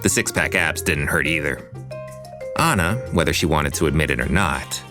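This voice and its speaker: A man's voice: a bass voice, normal speed, music in the background. A man playing a playful, flamboyant storyteller who narrates a scripted romance in a theatrical way. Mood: wry, dreamy.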